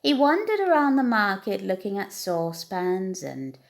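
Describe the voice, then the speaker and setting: A woman reading a children's bedtime story aloud as an expressive narrator. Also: medium pitch, moderate pace, creaky. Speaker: storybook reader.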